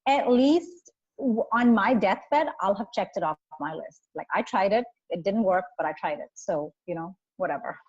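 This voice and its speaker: A woman's voice: mid-range voice, expressive, normal speed, darkish tone, breathy edge, no echo. A woman with an Indian accent, a guest speaking earnestly and reflectively in a casual interview, with frequent fillers. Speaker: guest, photographer. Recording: muffled, dry sound.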